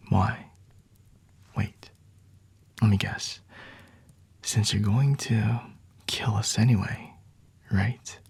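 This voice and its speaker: Breathy, whispering flirty male vampire, acted by a man. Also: mid-range voice, a little mellow, dry recording, exaggerated voice. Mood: mocking. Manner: teasing, unhurried, condescending. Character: flirty, smug male vampire toying with a captured hunter. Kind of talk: whispered ASMR roleplay.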